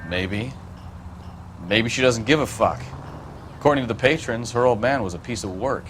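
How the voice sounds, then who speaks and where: Man playing a mid-pitched cynical American cop. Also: moderate pace, a bit bright, slight background noise, lightly acted. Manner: blunt, sardonic. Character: cynical, crude, hard-boiled male police partner. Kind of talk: 1940s crime game voice acting.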